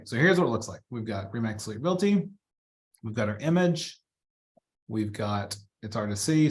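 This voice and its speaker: Man casually talking through a software demo. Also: mid-range voice. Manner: chatty, informal, thinking aloud as he works. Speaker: real estate trainer. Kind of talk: casual live software walkthrough.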